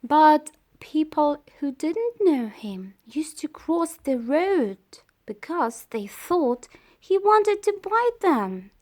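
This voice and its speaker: A woman reads a children's storybook aloud in a fairly high voice. Her pace is extremely slow and careful, with pauses between short phrases. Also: big pitch swings. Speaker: storybook reader.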